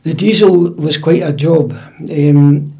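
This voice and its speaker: An older man with a Scottish accent recalling his life in a measured, matter-of-fact conversational way. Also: fairly high pitch, mellow. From central Scotland. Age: older, about 80. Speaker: interviewee, piping-band drummer. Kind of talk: conversational life-story recollection. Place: indoors at home.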